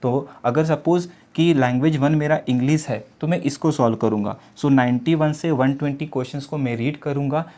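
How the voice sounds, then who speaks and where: A man's voice: mid-range voice, moderate pace, few pauses, mellow. A young man, an exam coaching teacher, explaining step by step in a tutorial and asking himself rhetorical questions as he goes. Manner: repeats rhetorical questions, mixes English words into Hindi.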